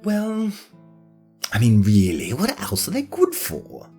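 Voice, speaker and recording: A man's voice: on the high side, wildly swooping pitch, slow pace, warm tone, breathy edge, faint music behind, heavily acted. An actor playing a spoiled, arrogant nobleman who talks in a haughty, self-pitying way. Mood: suspicious. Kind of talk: fan audio drama acting.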